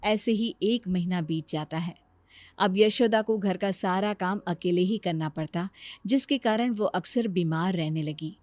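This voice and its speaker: A woman's voice: lowish voice, measured pace, darkish tone, dry recording. A woman reading scripted narration for a family moral story.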